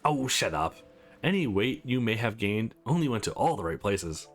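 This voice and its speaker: Easygoing young game hero, voiced by a man, animated. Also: fairly low pitch, moderate pace, soft background music. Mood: self-deprecating. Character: easygoing, sincere male visual novel protagonist.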